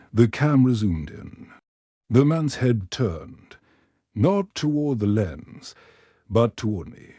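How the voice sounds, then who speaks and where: A man narrating a scripted horror tale at an unhurried, deliberate pace. His voice is dark and mellow, held in measured, hushed suspense. The recording is close and dry. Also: medium pitch, no echo.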